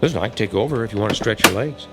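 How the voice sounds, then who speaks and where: An actor playing a joking, flippant airline co-pilot in a casual manner for a radio drama. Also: male voice, lowish voice, expressive, racing, over loud music, close to an everyday voice. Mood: alert. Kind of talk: vintage-style radio drama acting.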